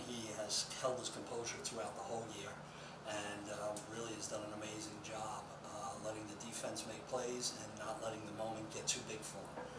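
A middle-aged man, a baseball coach, answering questions at a post-game press conference. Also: lowish voice. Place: indoor press conference room at a table. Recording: echoey, distant mic, loud room noise.

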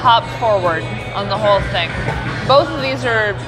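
A woman's voice: mid-range voice, expressive, normal speed, low-quality recording. An American woman in her thirties chatting casually and playfully as a vlog host. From United States. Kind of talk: casual vlog chat, beer tasting. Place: indoor brewery taproom. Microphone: clip-on lapel mic. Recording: busy taproom with loud music and crowd. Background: music, bar crowd chatter.

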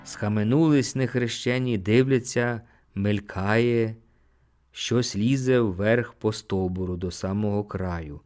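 A man narrating an audiobook, reciting poetry in an expressive, theatrical way. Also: on the low side, slow pace. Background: music.

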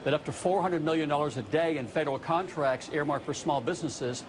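A man's voice: fairly high pitch, brisk, noisy background. Blunt middle-aged man, remote TV guest. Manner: blunt, emphatic, reels off figures. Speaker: small business advocate guest. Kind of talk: live TV interview. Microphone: remote studio camera.

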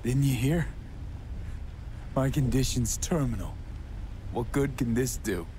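A man's voice: medium pitch, slow pace, mellow, slight background noise, lightly acted. An actor playing a weary, bitter young mercenary in a video game, blunt and downcast in his speech. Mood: despairing. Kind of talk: naturalistic video game acting.

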